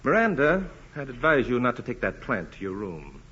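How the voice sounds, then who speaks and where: An actor in 1940s radio style playing a bold country doctor with a breezy, pointed, insinuating manner. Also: male voice, mid-range voice, wildly swooping pitch, normal speed, bright, forceful tone. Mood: accusing. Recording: muffled, noisy 1940s radio recording.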